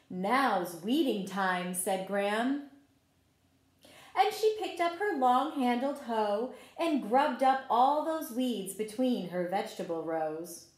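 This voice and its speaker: Animated, high-pitched woman reading to young children. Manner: animated, encouraging, addresses listeners with questions. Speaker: pre-kindergarten teacher reading a picture book to children. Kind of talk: expressive storybook read-aloud with questions to young children. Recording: roomy, echoing room sound.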